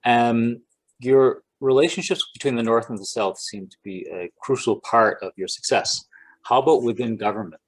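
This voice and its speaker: A man moderating an online question session, speaking softly and politely with some hesitation. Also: mid-range voice, normal speed, low-quality recording. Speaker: event moderator.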